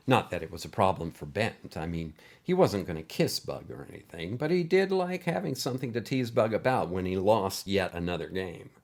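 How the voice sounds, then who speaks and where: A man narrating a scripted short story as a host, with expressive, animated delivery. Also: on the low side.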